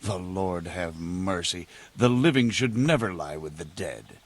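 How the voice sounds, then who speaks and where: Deep, solemn medieval monk. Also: male voice, animated. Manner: measured, solemn. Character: grave, pious medieval healer monk, measured and kindly. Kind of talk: video game cutscene dialogue. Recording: faint ambient noise.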